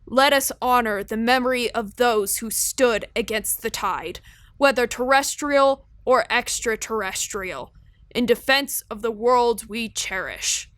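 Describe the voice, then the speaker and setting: A woman playing a solemn news reporter who narrates in a grave, measured, formal style. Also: on the high side, a bit bright, dry recording. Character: solemn female radio news reporter closing broadcast. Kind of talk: scripted dramatic news narration.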